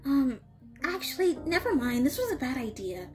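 Woman voicing a high-pitched anxious teenage schoolgirl. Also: moderate pace, soft background music. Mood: flustered, downcast. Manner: flustered, expressive. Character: anxious, lovestruck teenage schoolgirl, teasing yet apologetic. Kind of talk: animated-style comic dub acting.

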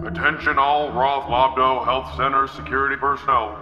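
An actor playing an urgent hospital announcer over a public-address speaker in an audio drama. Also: male voice, mid-range voice, little pitch movement, moderate pace. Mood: urgent, official. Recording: dull, echoing tannoy under music.

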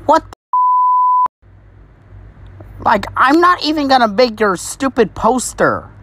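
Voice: mid-range voice, sing-song, slow, sharp tone, heavily acted. A performer voicing an exasperated, scolding young cartoon character in casual speech.

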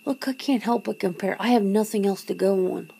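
A woman playing a wary, wry human confronting a vampire lover in an ASMR roleplay, breathy and often slipping into a whisper, hesitant and casual. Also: medium pitch, fairly quick, mellow. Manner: hesitant, sardonic, casual, sighing. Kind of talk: ASMR roleplay, half-whispered one-sided dialogue. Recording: noticeable background noise and ambience.

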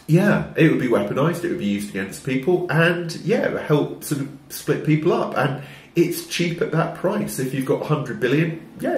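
A man, who has a cold, giving a wry, measured opinion vlog to camera in a small room. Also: mid-range voice, reverberant, a little hiss or hum. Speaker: commentator. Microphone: wired-in microphone facing camera.

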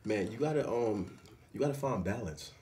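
A man's voice: on the low side, expressive, moderate pace, warm tone, a little hiss or hum. A middle-aged man talking casually as an interview guest, often adding little check-in phrases as he goes. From Jamaica and the Bronx, New York. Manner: peppers talk with "you know what I'm saying". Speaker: guest, restaurant owner and chef. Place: small restaurant dining room, indoors.

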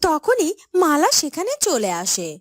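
High-pitched, animated woman storyteller. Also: a little slow, no room sound. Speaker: narrator. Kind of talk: expressive scripted storybook narration.

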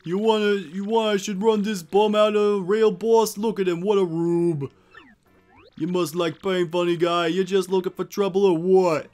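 A man's voice: high voice, fairly even intonation, normal speed, a little mellow, faint music behind, natural voice. A man voicing a brash, dim gangster henchman in a video game, using mobster slang. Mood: brash, jeering. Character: dim, eager male gangster henchman cartoon creature. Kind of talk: video game character dub.

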